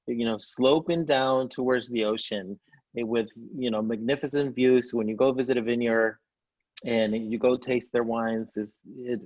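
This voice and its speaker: A Spanish-born man gives an enthusiastic travel presentation over a video call, adding fillers as he goes. His voice sits at an everyday pitch for a man. The call audio is dry and dull, with no room sound. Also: dry recording. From Spain. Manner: enthusiastic, descriptive, with frequent fillers. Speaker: tour company CEO presenting.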